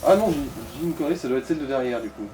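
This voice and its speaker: A man's voice: fairly high pitch, lively intonation, very fast, mellow, recorded from a distance, faint music behind. A man giving casual, informal gaming commentary, coaching a player and swearing now and then. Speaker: let's play co-host guiding the player.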